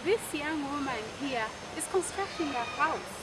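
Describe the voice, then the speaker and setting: Woman host, high voice, interview chat, loud background noise. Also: animated, normal speed. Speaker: talk show host.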